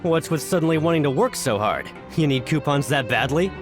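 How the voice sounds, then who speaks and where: A brusque, impatient video game overseer, voiced by a man, pitched high for a man. He orders workers about bossily, with clear music playing behind him. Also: lively intonation, brisk, natural voice. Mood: bossy. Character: bossy, impatient male factory overseer.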